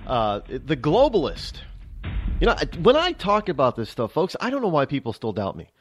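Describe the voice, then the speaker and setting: A man's voice: on the high side, huge pitch swings, normal speed. A man hosting a talk radio show, talking in a rambling, boastful way with lots of fillers.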